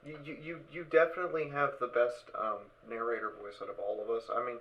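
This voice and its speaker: A man hosting a casual live stream over voice chat, talking haltingly and repeating his words as he organises. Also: mid-range voice, lively intonation, gravelly. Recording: dull voice chat with background noise.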